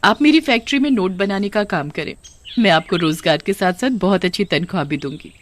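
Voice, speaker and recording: A woman's voice: medium pitch, lively intonation, fairly quick, slight background noise, natural voice. A woman voicing a persuasive, scheming lady factory owner in a cartoon, coaxing and insistent.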